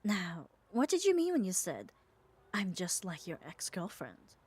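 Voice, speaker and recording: A woman's voice: lowish voice, huge pitch swings, unhurried, darkish tone, husky, exaggerated voice. A woman playing a muscular, possessive yet caring yandere in an ASMR roleplay, speaking with coaxing, tender firmness. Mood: calm, coaxing. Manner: coaxing, firm, tender reassurance. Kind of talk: ASMR roleplay audio drama.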